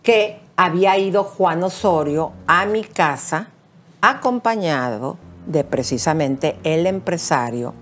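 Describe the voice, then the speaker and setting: A woman's voice: on the low side, animated, slow pace, soft background music. A middle-aged woman with a Mexican accent, co-hosting a celebrity gossip show from a TV studio, talking straight to viewers in a chatty way with fillers. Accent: Mexican Spanish. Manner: conversational, addresses viewers as comadres, fillers eh and este. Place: bright TV studio set.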